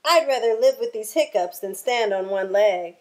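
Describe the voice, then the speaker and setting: A woman's voice: medium pitch, animated, moderate pace, a little mellow, close to an everyday voice. A woman voicing a flustered, stubborn little bird in a children's storybook, fretful in manner.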